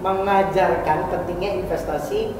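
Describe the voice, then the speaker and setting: A man's voice: sits high, steady tone, moderate pace, very echoey, in a large hall, noisy background. A man asking people casual questions as a street interviewer.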